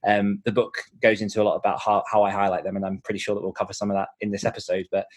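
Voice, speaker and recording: Fast-talking man in casual interview chat. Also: fairly low pitch, little pitch movement. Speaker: podcast guest, author.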